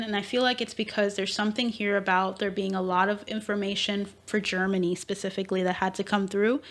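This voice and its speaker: A woman's voice: medium pitch, a bit bright. Chatty woman giving an intuitive reading. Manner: rambling, thinks aloud with fillers and self-corrections. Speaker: intuitive reader. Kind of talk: tarot-style intuitive reading and history talk.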